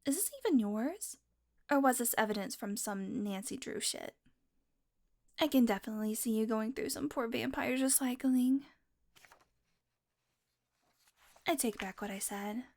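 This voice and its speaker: A woman's voice: a little high, normal speed. A woman voicing a playful, predatory female vampire who teases and mocks, sometimes dropping to a whisper. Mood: amused. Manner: playful, mocking, drifts into whispers. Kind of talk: audio roleplay.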